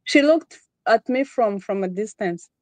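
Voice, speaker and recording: A woman's voice: mid-range voice, lively intonation, a little slow, no echo. A woman from Rwanda with a Rwandan accent, talking casually as an interview guest and repeating phrases for emphasis. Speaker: podcast guest.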